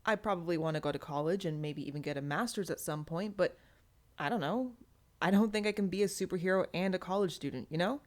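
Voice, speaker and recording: A woman's voice: on the low side, fast, close to an everyday voice. A woman narrator plays an eighteen-year-old girl hero in an audiobook. She sounds earnest and awkward, a bit hesitant as she explains herself.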